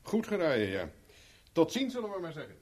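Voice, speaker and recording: An actor in a 1970s radio play playing a tough, blunt investigator with a curt, sardonic, informal manner. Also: male voice, fairly high pitch, wildly swooping pitch, moderate pace, darkish tone. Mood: brisk. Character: tough, blunt, wry private investigator, adult man.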